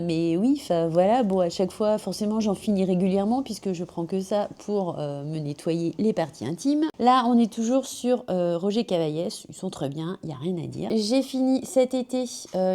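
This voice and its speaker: Chatty woman with a slightly hoarse cold voice. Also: medium pitch, warm tone. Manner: chatty, many fillers and self-corrections. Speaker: beauty vlogger. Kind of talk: casual product review chat. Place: at home with a machine running nearby. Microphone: small magnetic clip-on mic.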